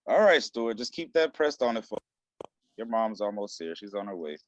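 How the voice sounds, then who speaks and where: A man's voice: on the low side, sing-song, moderate pace, no room sound. A man reading the part of a school principal, formal and polite, in a table read over a video call. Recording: dry, dull video call audio.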